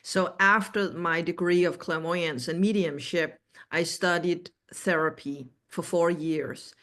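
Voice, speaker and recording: A middle-aged woman from Denmark with a Danish accent, explaining her work as an interview guest in a measured, halting way. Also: lowish voice, fairly even intonation, fairly bright tone. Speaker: interview guest, animal communicator.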